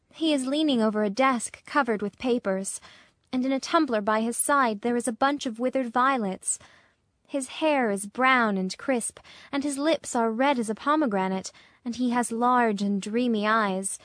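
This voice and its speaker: A woman playing a noble, sorrowful statue prince in a storybook, speaking gently, pleadingly and in measured phrases. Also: on the high side, dry recording, studio quality, lightly acted. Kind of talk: acted storybook dialogue.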